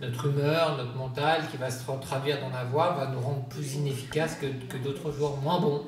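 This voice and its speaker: A man's voice: medium pitch, fairly even intonation, moderate pace, a crowd in the background. Distant, echoey male podcast host. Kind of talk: podcast interview.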